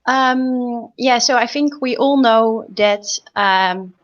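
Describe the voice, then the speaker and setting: A young woman speaking as a guest researcher on an online panel, explaining informally with a halting delivery. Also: on the high side. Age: young adult. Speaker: guest, PhD student researcher. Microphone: video call. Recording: dull, lo-fi video call audio.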